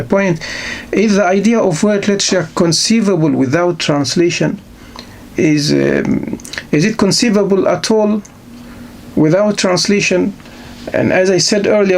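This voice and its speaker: Male lecturer, a little high-pitched, rough and gravelly, halting delivery with many rhetorical questions. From Egypt. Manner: halting, many restarts and repeated words. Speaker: literature lecturer. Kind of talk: unscripted university lecture.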